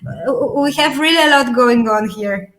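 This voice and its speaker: A woman from an architectural studio speaking quickly and eagerly in a panel discussion, restarting her sentences. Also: fairly high pitch, a bit bright.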